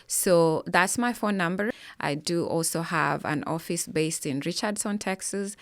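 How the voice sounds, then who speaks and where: Woman, low and gravelly. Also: measured pace, no room sound, studio quality. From Kenya. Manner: earnest, explanatory, with frequent fillers. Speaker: financial advisor guest. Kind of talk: conversational interview answers.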